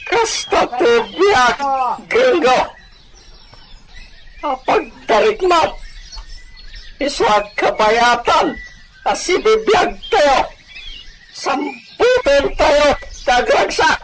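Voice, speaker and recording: An actor playing an excitable, greedy, bossy treasure hunter in an Ilocano audio drama. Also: high voice, extremely slow, pauses often, noisy background, full character voice. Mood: greedy glee. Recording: loud music over the voice.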